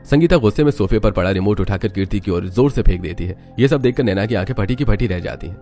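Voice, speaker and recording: A man's voice: lowish voice, lively intonation, fast talker, dark tone, faint music behind. A man narrating a scripted family story as its storyteller.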